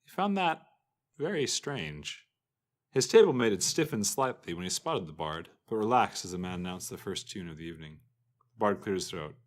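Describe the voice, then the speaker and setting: A man's voice: low-pitched, big pitch swings, moderate pace. A man telling a fantasy story as a scripted narrator.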